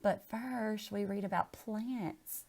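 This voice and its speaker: A woman voicing a cheerful, encouraging female primary school teacher, animated as in a picture book read-aloud. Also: medium pitch, slow pace, creaky, pitched above the performer's own voice. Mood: enthusiastic.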